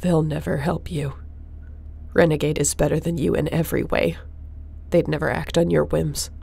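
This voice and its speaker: Woman playing a low, creaky-voiced space captain. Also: little pitch movement, moderate pace, mellow, exaggerated voice. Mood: defiant. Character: tough, loyal female space captain, defiant and tender. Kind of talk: sci-fi audio roleplay acting.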